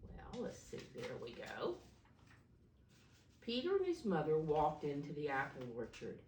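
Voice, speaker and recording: Slow, low-voiced woman reading to preschoolers. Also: huge pitch swings, a little mellow, a little hiss or hum. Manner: simple questions and explanations to small children. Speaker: preschool storybook reader, teacher figure. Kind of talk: read-aloud picture book for preschoolers. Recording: roomy echo, distant mic.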